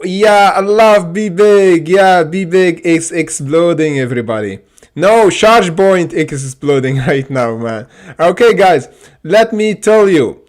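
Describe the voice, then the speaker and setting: Excitable man. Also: a little high. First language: Arabic. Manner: excitable, repeats "okay?" and many fillers. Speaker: stock trading livestream host. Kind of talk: casual livestream chart commentary.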